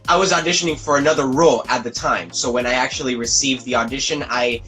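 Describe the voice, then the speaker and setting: A man's voice: mid-range voice, faint music behind, low-quality recording. A man from Cuba, an actor, telling stories in a casual interview, acting out quotes and laughing often. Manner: fast, animated storytelling that acts out quotes and laughs often. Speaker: actor guest.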